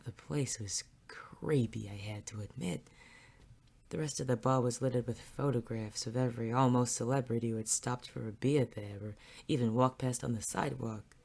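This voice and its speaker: A woman playing a wry, gruff male police detective in a noir audio drama, with deadpan, sardonic delivery. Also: a bass voice, heavily acted. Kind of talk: noir audio drama dialogue and first-person narration. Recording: music behind the voice.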